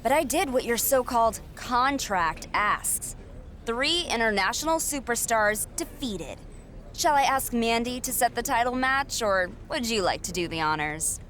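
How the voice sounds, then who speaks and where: A woman's voice: on the high side, lively intonation, measured pace, a bit bright. A woman playing a defiant, determined wrestling challenger in a video game, sarcastic and confrontational. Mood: skeptical, sarcastic. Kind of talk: scripted video game cutscene acting.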